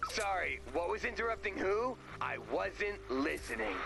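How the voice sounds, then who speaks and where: A man's voice: high voice, sing-song, normal speed, creaky, over music, heavily acted. A man voicing a blunt, inattentive, complaining young teammate in a video game, with gunfire behind. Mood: oblivious. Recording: loud gunfire and game noise.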